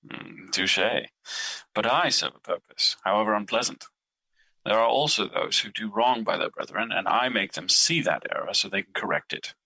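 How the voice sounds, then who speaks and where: A man's voice: on the low side, normal speed, vocal fry, bright, forceful tone, breathy edge, dry recording, heavily acted, low-quality recording. A man playing Guilt as a personified emotion in an audio drama, cold and judgmental, with formal, mocking, accusing delivery. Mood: measured, wry.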